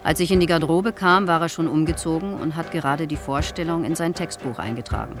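A man in his fifties played by an actor gives a witness account in a radio drama, with clear guitar music behind him. He sounds reflective and a little wistful, explaining things in a casual, conversational way. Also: fairly high pitch, fast talker, lightly acted. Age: 50s. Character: wistful 58-year-old male theatre actor giving witness statement.

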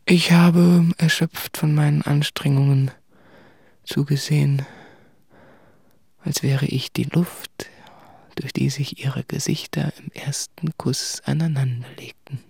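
Radio play role: tormented, introspective man, gravelly and very husky, halting hushed confession, slow, dry with faint music. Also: on the high side, steady tone, dry recording, full character voice. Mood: resigned, haunted. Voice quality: husky, breathy, creaky. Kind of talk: literary radio play monologue.

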